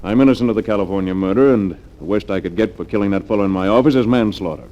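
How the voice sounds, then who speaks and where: An actor playing a domineering, scheming wealthy fugitive in middle age, brusque and commanding, in 1940s radio drama style. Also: male voice, on the low side, rapid-fire, mellow, natural voice. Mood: brisk, commanding. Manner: brusque, commanding, self-assured. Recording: dull, lo-fi 1940s radio recording.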